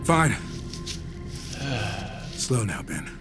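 An actor playing a commanding mystic healer who speaks in a measured, cryptic, curt way in an audio drama. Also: male voice, on the low side, big pitch swings, over music, heavily acted. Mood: calm command. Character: calm, commanding male mystic healer and gardener.

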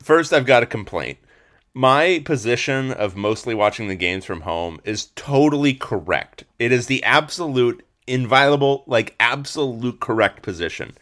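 A man in his thirties hosting a casual sports podcast, slightly hoarse, rambling with lots of fillers and restarts. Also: mid-range voice, animated, normal speed, a bit bright. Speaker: podcast host and sports reporter.

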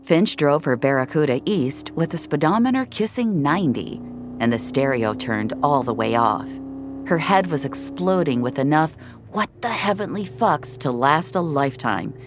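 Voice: medium pitch, a little hiss or hum. A narrator reading scripted audio fiction in a wry, hard-boiled storytelling manner.

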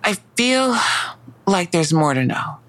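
A woman playing a guarded, weary police detective in an audio drama, with a creaky voice and a hesitant manner. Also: lowish voice, very animated, takes it slowly. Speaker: actor in a fiction podcast. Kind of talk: naturalistic audio drama acting.

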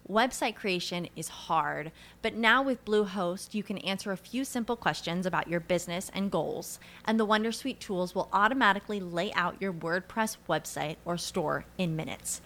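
Casting: woman, mid-pitched voice, scripted commercial narration for a product. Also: fairly bright tone. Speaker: advertising announcer.

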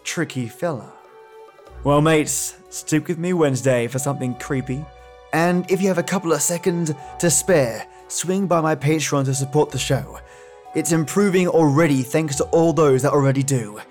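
A man's voice: fairly high pitch, moderate pace, darkish tone. A man hosting a podcast, giving casual, friendly commentary straight to his listeners. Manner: chatty, friendly address to listeners. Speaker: podcast host. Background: music.

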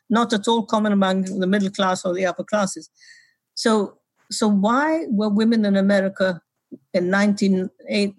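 Older woman from Egypt, husky voice. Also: medium pitch, no echo. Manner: brisk, explanatory, with restarts. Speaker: historian and author. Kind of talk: interview answers.

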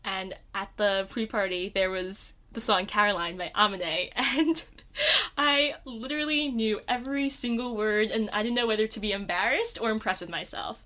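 Young woman chatting on a podcast, mid pitch, super emphatic n gushy, playful. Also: normal speed, pushed and bright. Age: young adult. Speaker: podcast co-host. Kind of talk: casual pop culture podcast chat.

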